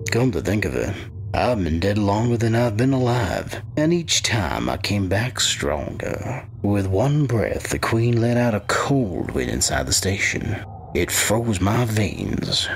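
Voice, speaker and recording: Man voicing a low, gravelly undead wight. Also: heavily acted. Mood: grim, proud. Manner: brooding, deliberate, theatrical. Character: ancient undead body-stealing wight, cold, menacing, patient. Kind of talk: dark fantasy audio drama narration.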